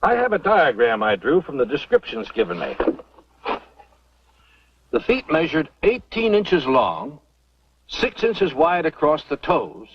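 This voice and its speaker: An actor playing a kindly, thoughtful older professor with a husky voice and a measured, avuncular way of explaining, in a vintage Western radio drama. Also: male voice, fairly high pitch, huge pitch swings, noisy background. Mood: intrigued, explanatory. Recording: dull old radio recording, faint music.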